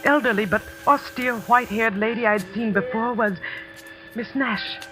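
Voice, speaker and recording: A woman's voice: on the high side, moderate pace, natural voice. Earnest woman teacher over prominent music, acted. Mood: tense narration. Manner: clear, dramatic, earnest. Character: earnest, anxious new young woman schoolteacher. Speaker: radio actress. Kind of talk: 1940s-50s radio suspense narration and acting. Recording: vintage radio recording with music behind.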